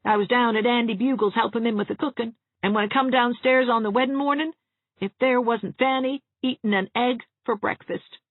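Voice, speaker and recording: A mournful, fussy old spinster always fearing the worst, as a woman reads her in an audiobook. The voice sits high and creaky, with a husky edge. The sound is dry and thin, like a phone line. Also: no echo, heavily acted. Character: gloomy fretful elderly spinster woman.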